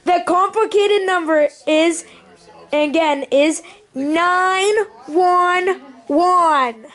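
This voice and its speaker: A woman's voice: very high-pitched, extremely slow, fairly bright tone. A woman casually hosting a homemade puppet show and putting questions to the people gathered. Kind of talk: informal presenting to a family gathering.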